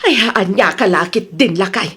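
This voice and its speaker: A woman playing a boastful, excitable older wife with an Ilocano accent in a comedy drama, exclaiming and drawing out her words. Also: medium pitch, moderate pace, husky. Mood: upset.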